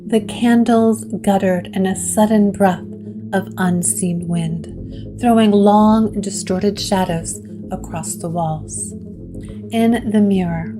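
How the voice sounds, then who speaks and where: A woman narrator reading scripted gothic horror in a measured way, with dramatic pauses. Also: mid-range voice.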